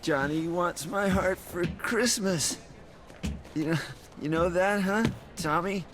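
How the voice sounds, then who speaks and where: Slow, high-voiced, agitated hunted man. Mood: anxious. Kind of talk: video game voice acting. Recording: clear ambient and vehicle noise.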